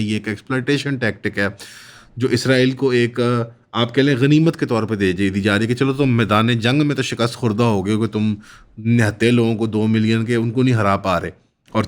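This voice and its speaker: A man with a Pakistani accent giving political commentary as an analyst, in long winding sentences with English terms mixed in. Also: lowish voice.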